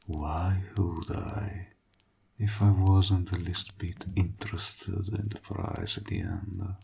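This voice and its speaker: Man acting a gravelly, slow, seductive warlock villain. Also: low-pitched, expressive, darkish tone, slight background noise, heavily acted. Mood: smug, coaxing. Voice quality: gravelly, husky, breathy voice. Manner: slow, deliberate, teasing, half-whispered. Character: seductive, menacing male warlock villain, smug and possessive. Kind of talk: intimate roleplay audio drama monologue.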